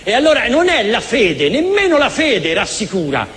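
A man's voice: very high voice, huge pitch swings, moderate pace, fairly bright tone. A man giving an impassioned, emphatic public address, using rhetorical questions and repeating words for weight. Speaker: speaker addressing an audience. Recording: reverberant hall, faint noise.

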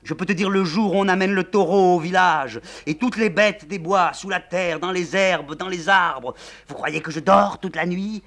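Guy doing a frantic older lady screaming and raving, way up high and loud. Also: moderate pace. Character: hysterical older woman, jealous general's wife.

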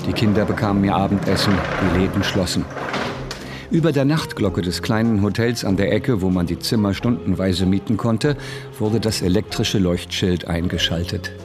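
An actor playing a reflective, melancholy narrator in a radio drama, speaking in a measured, deliberate way. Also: male voice, low voice, natural voice. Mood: melancholy observing. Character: reflective literary narrator, melancholy, observing old Berlin. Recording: music behind the voice.

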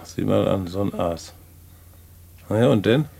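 Low-voiced streetwise crook. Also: male voice, expressive, measured pace, gravelly, mellow, husky, full character voice. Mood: sympathetic, probing. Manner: slangy, worldly-wise, patronising. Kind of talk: post-war German radio play dialogue.

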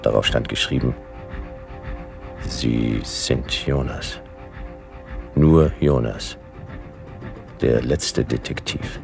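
An actor playing a laconic, world-weary, befuddled private detective, narrating a hard-boiled radio drama in clipped, deadpan sentences. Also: male voice, extremely low pitch, very slow, creaky, long pauses, exaggerated voice. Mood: groggy, deadpan. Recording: music behind the voice.

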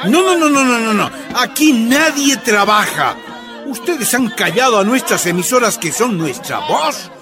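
A fierce, forceful man shouts in a heated radio-drama confrontation. His voice is extremely high and swings wildly, repeating itself over loud music. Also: big pitch swings, a little slow, full character voice. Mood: defiant.

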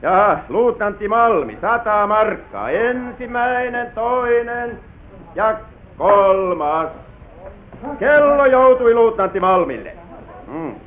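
A man's voice: high-pitched, animated. A male actor playing a joking country auctioneer with theatrical patter in an old radio play. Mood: jocular. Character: jocular male country auctioneer, wordplay and drawn-out calls. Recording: old narrow-band radio recording, roomy echo.

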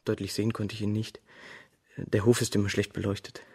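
An actor playing a timid, lovestruck servant's son, halting, husky and deferential, in a German radio crime drama. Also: male voice, lowish voice, little pitch movement, a little mellow, full character voice. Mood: wistful, hesitant.

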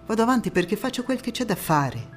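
A woman acting a defiant, idealistic partisan turned politician in an emphatic, deliberate theatrical monologue. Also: medium pitch, big pitch swings, faint music behind, natural voice. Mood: resolute.

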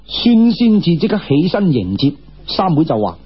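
Husky Cantonese male storyteller, higher-pitched voice. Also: wildly swooping pitch, moderate pace, highs cut off. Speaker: audiobook storyteller. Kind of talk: traditional Cantonese storytelling narration.

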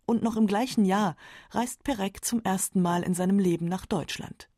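A woman narrating a scripted radio documentary in a measured, matter-of-fact way. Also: medium pitch, dark tone, no room sound, studio quality.

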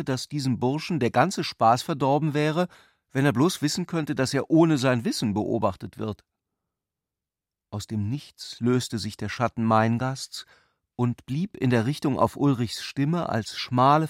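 A man narrating a literary radio play in measured, carefully phrased long sentences. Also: medium pitch, no echo, studio quality, close to an everyday voice.

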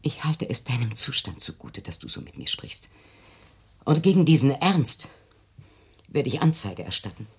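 An anxious, controlling elderly mother in a radio play. Her voice is deep for a woman and very breathy, rising and falling dramatically. She comes across as stern and agitated. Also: sing-song, normal speed, long pauses, a little mellow, exaggerated voice. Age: older. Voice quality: husky, breathy. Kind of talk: German radio drama acting.

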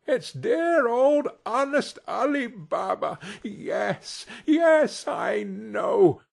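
A man voicing an excitable old man in a storybook, nostalgic and boyish, exclaiming between laughter and tears. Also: a little high, slow, gravelly, breathy edge, pitched above the performer's own voice, full character voice. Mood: ecstatic. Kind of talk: dramatized storybook character voice.

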